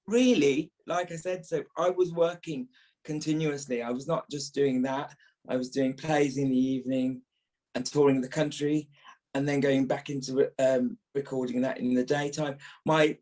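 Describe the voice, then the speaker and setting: A man's voice: mid-range voice, steady tone, moderate pace, a little mellow, rough recording. A British man in his early fifties with a trained actor's voice, reminiscing as a guest in a podcast interview.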